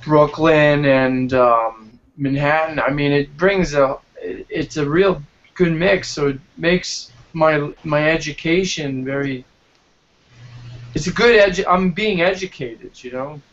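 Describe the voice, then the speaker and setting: Guest: higher-pitched man from New Jersey, stop-start casual talk, muffled lo-fi audio. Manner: halting, repeats words. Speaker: guest street performer. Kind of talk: casual chat. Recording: dull lo-fi call audio.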